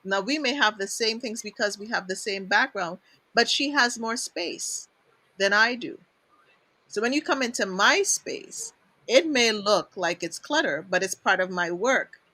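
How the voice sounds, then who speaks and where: A woman's voice: medium pitch, animated, a little slow, pushed and bright, no echo, rough recording. A woman from Trinidad with a Trinidadian accent, hosting a casual talk show and speaking in an effusive, emphatic way. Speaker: host.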